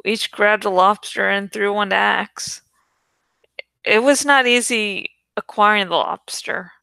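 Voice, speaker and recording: A woman narrates adventure fiction with expressive suspense. Her voice is bright and smooth, a little gravelly at the edges. She takes her time with each line. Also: mid-range voice, measured pace, no room sound. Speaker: audiobook reader. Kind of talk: dramatic scripted narration of a young adult novel.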